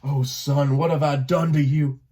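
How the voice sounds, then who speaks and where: A man's voice: on the high side, little pitch movement, a little slow, dark tone, close to an everyday voice. A man voicing a proud, wounded old father duck in a storybook, blunt and pleading. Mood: pleading, confused.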